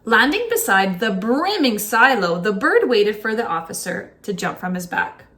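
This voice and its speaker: A woman reading a children's story aloud in an expressive, dramatic storytelling manner, like a teacher to a class. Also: medium pitch, normal speed. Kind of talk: expressive children's picture book read-aloud.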